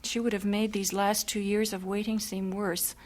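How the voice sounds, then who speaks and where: A woman's voice: mid-range voice, level tone, moderate pace, darkish tone, lightly acted. An actress voicing an earnest young missionary woman. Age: young adult. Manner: earnest, heartfelt. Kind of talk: vintage radio drama acting.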